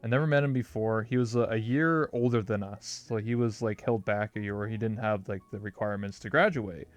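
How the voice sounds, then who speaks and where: A man's voice: fairly low pitch, fairly quick, faint music behind. A young man hosting an interview, telling a story casually and rambling, with "like" in nearly every phrase. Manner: rambling storyteller, heavy use of "like". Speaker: interview host. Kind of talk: casual chat.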